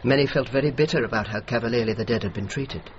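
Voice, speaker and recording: A man acting a determined male army officer in a radio drama. His mid-pitched voice is formal and measured, always persuasive. The recording is dull, with some noise. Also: lightly acted. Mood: earnest, persuasive. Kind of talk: radio drama dialogue and narration.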